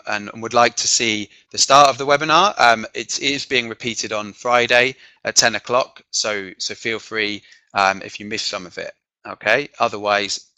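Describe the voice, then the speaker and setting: An English man from the south whose accent carries some northern touches, presenting an online teaching session over a computer microphone. His tone is bright and forward, and the sound is dry and close. He keeps checking in with a quick "okay?" and fills his pauses with um. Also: lowish voice, no echo. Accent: mixed southern and northern English. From south of England. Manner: frequent "okay?" check-ins and filler ums. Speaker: webinar presenter, teacher trainer. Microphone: computer microphone on a video call.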